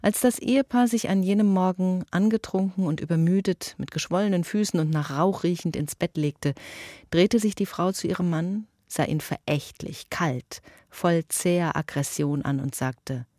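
A speaker reading a passage from a novel in a slow, deliberate way. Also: medium pitch, a little mellow, no echo, clean studio sound.

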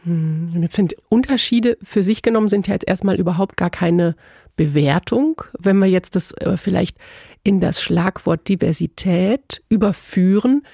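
A woman interviewer asks thoughtful, probing questions. Her voice is mellow and slightly husky, with a gravelly edge, and it sounds dry and close. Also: lowish voice, moderate pace, dry recording.

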